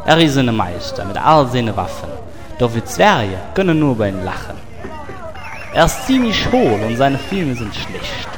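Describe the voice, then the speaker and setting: A man's voice: mid-range voice, big pitch swings, moderate pace, lightly acted. Man acting a boisterous dwarf declaiming in an echoing hall. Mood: mocking. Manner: declaiming in rhymes. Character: boisterous, mocking dark-iron dwarf carnival crier. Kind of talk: comic audio drama, rhymed carnival speech. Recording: echoing hall with crowd noise.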